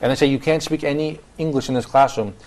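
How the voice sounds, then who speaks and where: A man's voice: medium pitch, fast talker, a little mellow. A man from the United States hosting a studio talk show, asking the guests questions. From San Francisco, USA. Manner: upbeat, asks guests questions. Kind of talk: casual studio panel talk.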